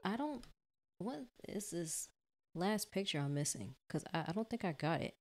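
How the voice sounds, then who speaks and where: A woman's voice: fairly low pitch, lively intonation, normal speed, very noisy. A woman gaming streamer giving casual commentary as she plays.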